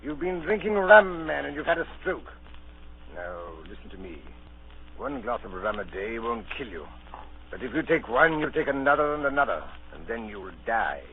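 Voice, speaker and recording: A stern country doctor in a vintage radio play. He is blunt and scolding, with brisk authority. The recording is narrow, with faint noise. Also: medium pitch, sing-song. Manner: blunt, lecturing. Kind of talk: 1930s radio acting. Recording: thin, noisy old radio recording.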